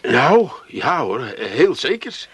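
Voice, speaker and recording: An actor playing a bashful, smitten bicycle attendant in a comic radio play, stammering and trailing off. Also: male voice, medium pitch, very animated, slow, a bit bright, slightly rough, dry recording, exaggerated voice. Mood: smitten, flustered.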